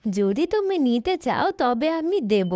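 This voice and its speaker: A woman's voice: a little high, big pitch swings, measured pace, dark tone, dry recording. A woman voicing a soothing, mysterious magical helper in a cartoon fairy tale, on a dull lo-fi track. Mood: generous.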